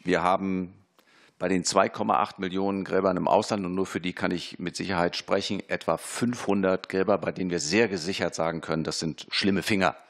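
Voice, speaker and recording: A man answering questions as an expert before a parliamentary committee, measured and formal, often pausing with fillers and restarting sentences. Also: low-pitched, fairly even intonation, gravelly. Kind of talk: formal spoken testimony.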